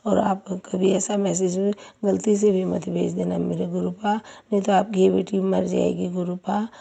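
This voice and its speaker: A hoarse woman sharing a devotional testimony, thanking and pleading with her guru between chants. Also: mid-range voice, dark tone. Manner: tearful pleading, repeated thanks.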